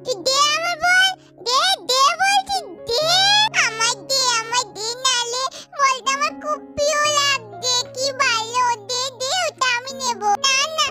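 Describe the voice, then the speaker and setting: A storyteller voicing an excitable, demanding small child doll with babyish, repetitive pleading. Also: very high-pitched, unhurried, faint music behind, pitched above the performer's own voice, heavily acted.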